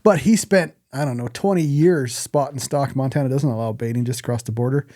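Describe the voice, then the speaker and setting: A man's voice: mid-range voice, brisk, dark tone, dry recording, studio quality. A man co-hosting a podcast in casual conversation, talking bluntly and plainly with some casual swearing. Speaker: podcast co-host.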